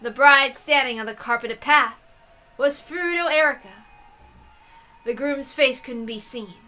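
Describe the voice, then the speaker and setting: A woman reading a visual novel aloud in an expressive way, with casual asides as the host. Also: high voice, unhurried, poor audio.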